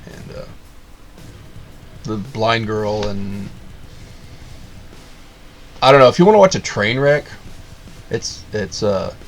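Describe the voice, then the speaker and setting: A man's voice: on the low side, expressive, slow, long pauses, soft background music. Sarcastic man ranting in a casual review. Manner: sarcastic, rambling, full of fillers and restarts. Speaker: video reviewer.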